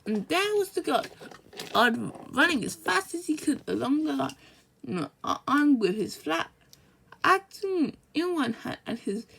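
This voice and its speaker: A high-voiced young-sounding reader reading a children's storybook aloud, stumbling and repeating words along the way. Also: very animated, slow pace, vocal fry, a bit bright, a little hiss or hum, poor audio.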